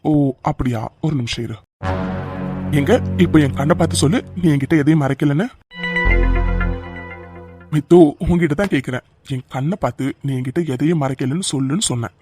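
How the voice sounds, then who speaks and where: A man's voice: on the high side, a little fast, long pauses, over music, natural voice. A young man voicing a doting, protective husband who coaxes and pleads affectionately. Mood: probing. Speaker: voice actor. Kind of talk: audio drama acting.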